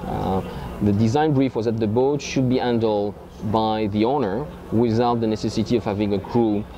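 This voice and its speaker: A man's voice: lowish voice, steady tone, moderate pace, crowd noise. A man with a French accent, answering interview questions hesitantly with many ums and uhs, outdoors at a marina. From France. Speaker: boat owner.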